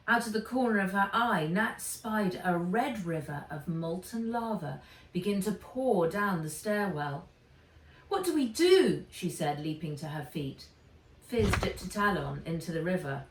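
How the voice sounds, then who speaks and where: A woman reading a children's adventure story aloud with dramatic, expressive narration. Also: medium pitch. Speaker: author reading own book aloud. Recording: roomy, distant microphone.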